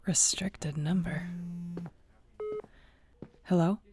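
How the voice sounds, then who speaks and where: A woman playing a surly, protective werewolf alpha in an audio roleplay, with a gruff, blunt, dry manner. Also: fairly low pitch, steady tone, drawn-out words. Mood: guarded, hostile. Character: surly young female werewolf alpha, guarded, fiercely protective.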